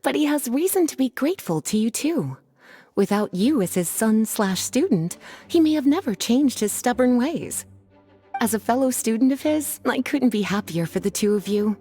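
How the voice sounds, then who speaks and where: A woman's voice: on the high side, lively intonation, moderate pace, dark tone, slightly rough, faint music behind. Coy, teasing scholarly woman with lilting melody. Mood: warm, reflective. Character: teasing, elegant young woman scholar, playful older-sister temper. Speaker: video game character voice. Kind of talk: scripted game dialogue acting.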